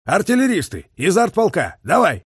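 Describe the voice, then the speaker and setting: A man's voice: medium pitch, sing-song, normal speed, creaky, husky, no echo, clean studio sound, exaggerated voice. A man voicing a harsh, impatient Soviet colonel in battle, barking clipped commands in a war audiobook. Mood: agitated, shouting. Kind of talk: audiobook character voice, war novel.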